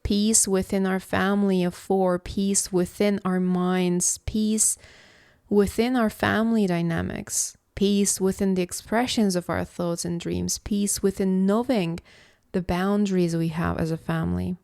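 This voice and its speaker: A woman from Istanbul, hosting a reflective solo podcast as a philosophy coach, speaking in a slow, contemplative, measured way. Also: mid-range voice, fairly even intonation, vocal fry, dark tone, clean tone, no room sound, clean studio sound. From Istanbul, Turkey.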